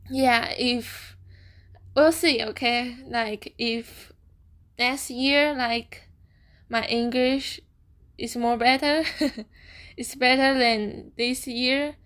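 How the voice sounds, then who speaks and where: Young woman, native Chinese speaker, slightly high voice, slow, hesitant casual chat. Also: a bit bright. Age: young adult. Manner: hesitant, halting non-native English, frequent "okay?" tags; slow, thoughtful, giggles often. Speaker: student vlogger and podcaster.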